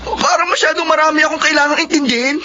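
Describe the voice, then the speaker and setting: Troubled younger brother, super high for a man, tense sharp voice, aggrieved, radio soap. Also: steady tone, quick pace. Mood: bitter, anguished. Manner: belligerent, aggrieved. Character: bitter, hard-drinking teenage or young brother, defiant. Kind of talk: emotional Filipino radio drama acting. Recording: muffled radio recording, faint noise.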